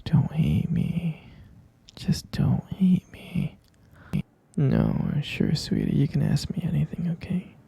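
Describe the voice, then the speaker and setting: A man playing a possessive, lovesick kidnapper in an intimate ASMR roleplay, coaxing softly in a breathy half-whisper and repeating himself. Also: fairly high pitch, wildly swooping pitch, takes it slowly, full character voice. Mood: tender, coaxing. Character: obsessive lovesick male kidnapper, soothing and possessive yandere.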